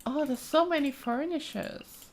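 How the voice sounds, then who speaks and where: A woman's voice: high-pitched, unhurried, slight background noise. A woman giving casual, chatty let's play commentary, thinking aloud with frequent exclamations as she explores a game. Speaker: gaming streamer, let's play host.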